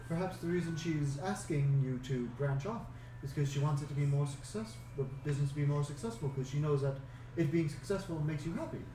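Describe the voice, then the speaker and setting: Young male game master, measured narration, roomy sound with audible noise. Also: on the high side, mellow. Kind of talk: narrating and voicing characters in a tabletop game. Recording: echoey, distant mic with clear background noise.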